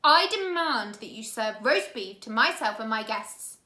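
Storybook villain: woman doing a scratchy, bullying count, loud, echoey room. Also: lively intonation, normal speed. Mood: menacing. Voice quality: scratchy, booming. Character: menacing scratchy-voiced male villain count.

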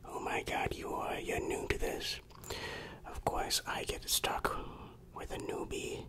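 Slow, secretive ASMR whisperer. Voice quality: breathy unvoiced whisper. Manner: slow, hushed, secretive delivery with repeated phrases. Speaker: ASMR roleplay performer.

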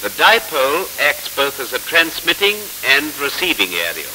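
A man narrating a 1940s instructional film in a formal, measured, explanatory manner, on a scratchy old film soundtrack. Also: mid-range voice, big pitch swings.

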